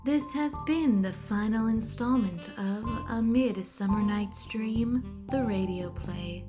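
A woman's voice: medium pitch, slow. A woman reading a scripted outro as the credits announcer of a radio play. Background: music.

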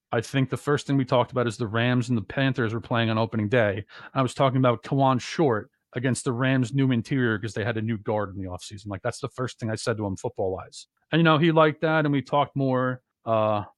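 A man from New Jersey with a loud bass voice, co-hosting a casual podcast and telling rambling stories full of restarts and fillers. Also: brisk, no room sound, studio quality. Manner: rambling storyteller, lots of "you know" and restarts. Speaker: podcast co-host.

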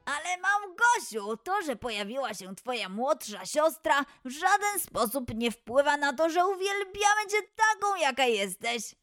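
Woman voicing a gentle, slow-speaking little boy animal. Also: high-pitched, big pitch swings, dry recording, studio quality, faint music behind, exaggerated voice. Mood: reassuring. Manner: gentle, reassuring, measured. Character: caring, patient young friend Lolek, male animal child. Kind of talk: children's storybook acting.

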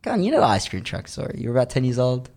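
A man with a medium-pitched voice hosts a podcast interview. He speaks informally, in casual chat, prompting his guest along. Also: big pitch swings, very fast, vocal fry, a little mellow.

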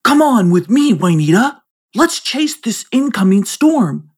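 A woman's voice: on the high side, very animated, unhurried, a little mellow, breathy edge, voice pushed up, full character voice. A woman voicing a mischievous, playful young boy in a storybook reading, teasing and laughing. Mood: coaxing.

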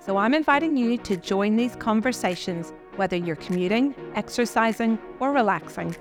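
A woman in her forties introduces her health podcast in a measured, scripted way, then asks her guest questions with a few hesitant fillers. Her pitch sits in an ordinary middle range. Soft music plays faintly behind her. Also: lively intonation. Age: 40s. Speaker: podcast host, family doctor.

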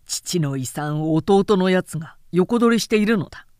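A woman's voice: on the low side, animated, moderate pace, a little mellow, clear, resonant tone, no room sound, clean studio sound, natural voice. A woman voicing a proud, boastful young warlord husband in a historical audiobook, casual and self-assured. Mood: boastful, indulgent.

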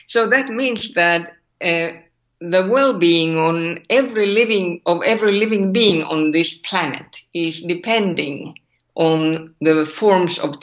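Finnish-accented woman, slow and bright. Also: on the low side, lo-fi sound. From Finland. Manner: thoughtful, searching, asks rhetorical questions. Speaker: ethics scholar guest. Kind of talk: interview over a call.

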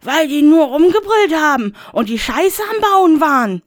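A man's voice: lively intonation, moderate pace, clean studio sound. A man voicing a furious, paranoid, foul-mouthed man in his thirties who rants and swears. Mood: ranting angry. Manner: shrill, ranting, swearing. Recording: echoey room with long reverb.

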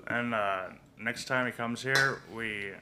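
A man's voice: medium pitch, fairly even intonation, takes it slowly, noisy background. A man co-hosting a comedy podcast in casual, crude chat, rambling and stumbling over his phrases.

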